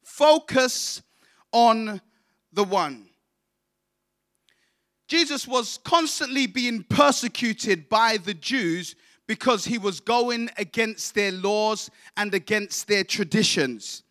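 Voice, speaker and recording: A man's voice: high-pitched, takes it slowly, fairly bright tone. A man from England preaching a sermon, addressing the congregation directly in a declamatory way and calling on them to respond. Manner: declamatory, calls on congregation to repeat. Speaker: visiting preacher.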